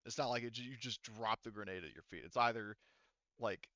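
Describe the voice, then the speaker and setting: A man narrating a tabletop game casually as game master, in an animated, informal way with some swearing. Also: lowish voice, fairly quick, no room sound, dull audio.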